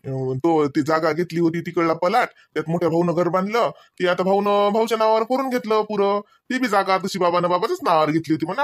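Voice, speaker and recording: A high male voice, dry and close, as a cartoon village brother, acted by a man. He has a rural Vidarbha accent and explains himself in an evasive, placating, repetitive way. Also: dry recording, close to an everyday voice. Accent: rural Maharashtra, Vidarbha. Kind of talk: comedy cartoon dialogue.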